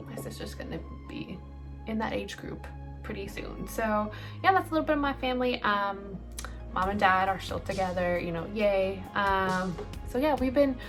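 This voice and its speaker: A woman's voice: mid-range voice, normal speed, a bit bright, faint music behind. A woman in her late twenties, from El Salvador, chatting casually through a get-ready-with-me Q&A, rambling with lots of ums and likes. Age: late 20s. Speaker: beauty vlogger.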